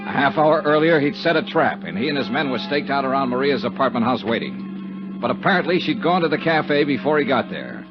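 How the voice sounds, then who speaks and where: An actor playing a tough, cynical insurance investigator in a 1950s radio detective drama, with a hard-bitten, wry, clipped delivery. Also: male voice, mid-range voice, animated, brisk, over music, natural voice. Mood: tense narration. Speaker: lead actor and narrator. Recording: muffled, hissy 1950s radio recording.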